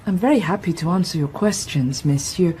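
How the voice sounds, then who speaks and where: Actress doing a young lady, mellow voice, polite and composed, radio play, faint noise behind. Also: lowish voice, brisk, close to an everyday voice. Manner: formal, composed. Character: reserved, polite young married woman, convent-raised. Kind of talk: audio drama acting.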